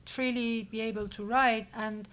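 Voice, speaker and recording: A woman's voice: a little high, fairly even intonation, unhurried, a bit bright. A woman with a Mashhadi Persian accent, moderating a panel and asking long, engaged questions. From Iran. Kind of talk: panel discussion.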